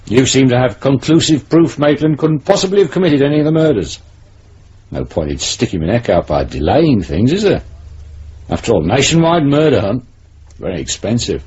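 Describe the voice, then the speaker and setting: Husky, animated British police chief. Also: male voice, mid-range voice, moderate pace. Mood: sardonic, dismissive. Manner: sardonic, patronising. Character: sardonic, grudging male senior police officer. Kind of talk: 1970s British radio drama acting. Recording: dull old radio recording.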